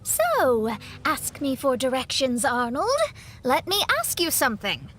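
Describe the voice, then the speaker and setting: A woman's voice: sits high, big pitch swings, a little slow, a bit bright, a little hiss or hum, exaggerated voice. A woman playing a proud, dramatic young astrologist in an anime-style video game, haughty and emphatic. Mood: composed, inquisitive.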